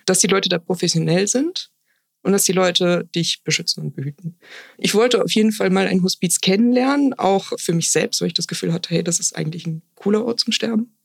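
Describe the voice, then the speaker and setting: A woman's voice: fairly low pitch, brisk, gravelly, slightly rough, dry recording, clean studio sound. A woman in her early thirties answering interview questions in a casual, conversational way. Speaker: podcast guest, hospice volunteer.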